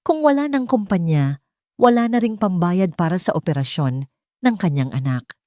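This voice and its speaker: A woman reading a scripted dramatic story as narrator, with deliberate phrasing. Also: fairly low pitch, darkish tone, dry recording, studio quality.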